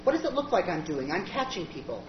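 A woman's voice: lowish voice, sing-song, fast talker. An actor playing a brusque, urgent young man in charred 1930s clothes. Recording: distant, echoey, dull recording.

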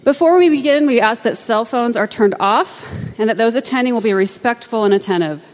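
A woman's voice: on the high side, expressive, long reverb tail. A woman moderating a conference session, reading formal announcements and a speaker introduction from a script. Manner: formal, reads from a script, brisk. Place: conference room.

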